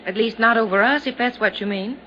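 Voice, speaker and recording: An actress playing a composed widow who answers questions calmly in a 1950s radio drama. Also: female voice, a little high, brisk. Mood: cool. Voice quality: cool, unhesitating answers. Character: cool, composed widow, unemotional. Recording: dull lo-fi old radio with faint noise.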